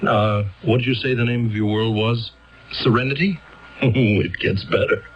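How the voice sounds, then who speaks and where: An actor playing an exasperated, disbelieving legal counsel, sarcastic and conversational, in a radio drama. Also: male voice, mid-range voice, fairly even intonation, moderate pace, husky, lightly acted. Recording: dull old radio recording, background noise.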